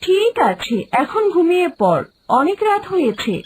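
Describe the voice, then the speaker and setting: A woman voicing an expressive, easily astonished village mother in a children's cartoon. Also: very high voice, normal speed, slight background noise. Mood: surprised. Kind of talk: children's cartoon story dialogue.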